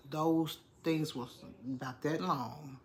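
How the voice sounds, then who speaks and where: A woman's voice: deep voice, fairly even intonation, takes it slowly, darkish tone, a little hiss or hum. A middle-aged woman with a congested chest, chatting casually to her viewers, repeating herself and calling them "y'all". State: congested, under the weather. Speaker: vlogger. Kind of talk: casual vlog talk to viewers.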